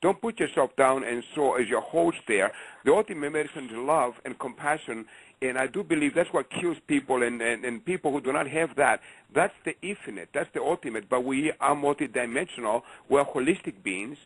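A man's voice: medium pitch, fairly quick, husky, no echo. Passionate Greek-accented male caller. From Greece. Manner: passionate, emphatic, repeats himself. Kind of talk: call-in radio talk. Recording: phone line on radio show.

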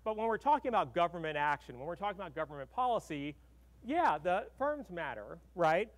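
A man's voice: high voice, sing-song, brisk. A middle-aged man from Arkansas giving a conversational conference keynote, full of fillers and "right?" tags, speaking at a podium in a large hall.

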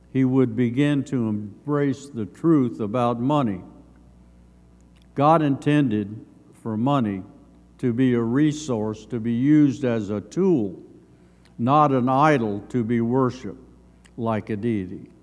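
Slow, halting older man teaching Bible. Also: mid-range voice, a little mellow. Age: older, around 70s. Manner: measured, halting delivery in short phrases. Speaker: Sunday school teacher. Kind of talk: Bible lesson read from notes. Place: church sanctuary, at a lectern. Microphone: gooseneck lectern microphone. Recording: long hall reverb.

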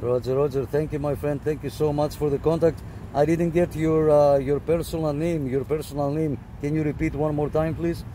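A man's voice: fairly high pitch, flat delivery, moderate pace, background noise. A man who runs a radio channel, talking on CB radio from a parked car and then outdoors, using radio lingo, repeating himself and adding many fillers. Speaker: CB radio operator and vlogger. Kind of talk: casual radio chat and on-camera walkthrough.

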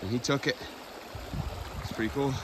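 A young man hosting a fishing vlog, chatting excitedly as he fishes a snowy mountain creek on an action camera. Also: medium pitch. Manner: chatty, excitable running commentary. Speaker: fishing channel host. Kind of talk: casual vlog narration while fishing. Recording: clear outdoor stream noise behind. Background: rushing stream water.

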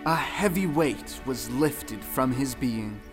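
A man's voice: mid-range voice, lively intonation, slow, faint music behind. A man narrating an audio play as a dramatic storyteller.

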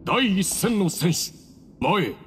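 A man's voice: high voice, very animated, takes it slowly, a little hiss or hum, heavily acted. A man voicing a solemn officiant of a desert temple duel in a video game, formal and proclaiming. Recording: long hall reverb, music behind.

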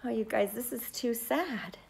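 A woman's voice: on the high side, a little slow, vocal fry, breathy edge. A middle-aged woman teacher talking casually and directly to young viewers during a read-aloud, recorded on a phone in a living room. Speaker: school teacher. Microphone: phone at arm's length.

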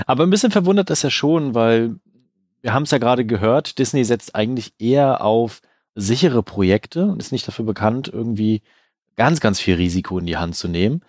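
A man co-hosting a casual film news podcast, speaking in a relaxed, affirming way and recommending titles. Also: mid-range voice, normal speed, no room sound, studio quality. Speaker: podcast co-host.

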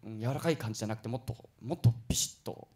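A man chatting politely as a guest performer on a radio talk show, telling stories excitably. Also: lowish voice, rapid-fire. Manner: excitable, animated storytelling with acted-out quotes. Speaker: guest performer new to voice acting.

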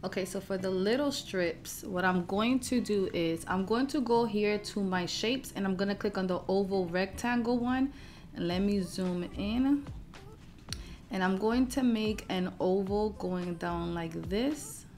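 A woman hosting a craft software tutorial, explaining each step in an informal, casual way. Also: mid-range voice, measured pace.

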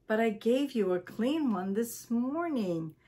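A woman's voice: a little high, expressive, slow, pitched above the performer's own voice. A woman voicing a cross, bossy storybook wife who scolds her husband. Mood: scolding. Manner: scolding, sharp.

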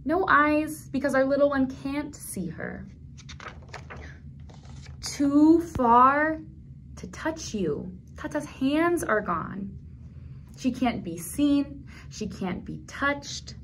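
A woman in her thirties leading a toddler storytime, speaking gently in simple phrases for small children as she reads aloud and comments. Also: high voice, expressive, slow, long pauses, a little hiss or hum, poor audio. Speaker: children's librarian, storytime host. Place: small indoor room at a library.

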